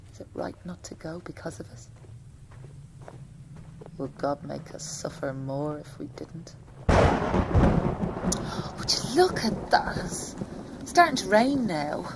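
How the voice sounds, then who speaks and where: A woman's voice: on the low side, very animated, slow pace, pauses often, very noisy, exaggerated voice. A woman playing an anxious working-class young mother who fears for her husband, in an intimate audio drama monologue. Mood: flustered. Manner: hushed, breathy inner thoughts broken by spoken-aloud outbursts. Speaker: actor in a solo audio play.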